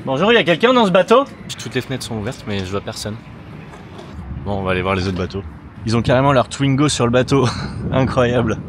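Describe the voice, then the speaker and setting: A man's voice: mid-range voice, sing-song, a little fast, background noise. A man in his thirties from France, talking casually and self-mockingly to the camera outdoors by city roads, with some swearing. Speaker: travel vlogger. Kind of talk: casual vlog talk to camera.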